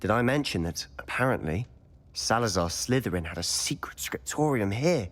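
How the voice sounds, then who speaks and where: A brooding teenage wizard, played by a man in a video game. His voice sits fairly low, and he speaks in a serious, persuasive, confiding way. Long reverb rings around it. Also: lively intonation, moderate pace, natural voice. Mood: intent, confiding. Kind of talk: video game cutscene acting.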